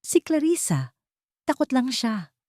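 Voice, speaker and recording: Narrator as a gentle, earnest mother, lifted pitch. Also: sing-song, a little slow, no room sound, clean studio sound. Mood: soft, sincere. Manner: soft, pleading. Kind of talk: dramatic audiobook character voice.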